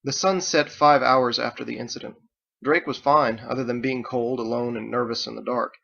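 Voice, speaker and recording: A man narrates a story from his own book. His voice has a gravelly creak at an ordinary pitch. The recording is slightly dull and muffled. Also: fairly even intonation, moderate pace, pushed and bright. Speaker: author reading own book. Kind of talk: scripted audiobook narration.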